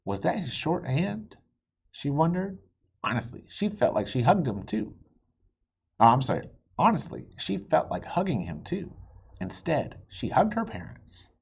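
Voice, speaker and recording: A man reads a children's story aloud with very animated, swooping intonation. His voice is husky and expressive, and he drops in little asides. The recording is slightly dull. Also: fairly high pitch, measured pace. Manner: expressive, with spoken asides and stumbles. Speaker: children's book reader. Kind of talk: animated read-aloud narration.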